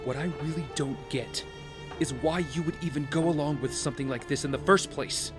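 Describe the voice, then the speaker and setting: A man's voice: a little high, brisk, over loud music, lightly acted. A man playing a troubled, protective young older brother in an audio drama, earnest and urgent. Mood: tense, pleading.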